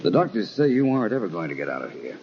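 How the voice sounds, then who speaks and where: An unruffled private investigator in a 1940s-style radio mystery. His voice is deep, and he questions people in a dry, measured way. The recording is dull with faint noise. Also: very animated. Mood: cool, probing. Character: composed, probing male private detective. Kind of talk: 1940s radio crime drama acting.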